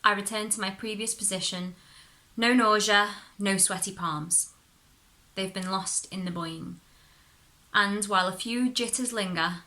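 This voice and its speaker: A woman reading a short story aloud as expressive narration, with dramatic, deliberate phrasing. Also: mid-range voice. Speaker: story reader.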